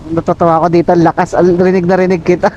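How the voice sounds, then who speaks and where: A man with a high voice chats casually over a helmet intercom. The sound is dulled, with some vehicle noise behind him as he rides. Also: flat delivery, quick pace, a little mellow, muffled recording. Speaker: motorcycle vlogger testing an intercom. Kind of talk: casual chat. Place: outdoors on a moving motorcycle. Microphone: helmet intercom headset.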